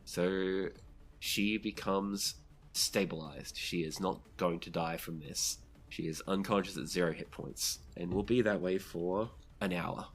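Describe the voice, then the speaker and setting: Tabletop game master, low-pitched voice, vivid and dramatic in describing the action. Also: fairly even intonation, measured pace. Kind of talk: narrating a tabletop role-playing game.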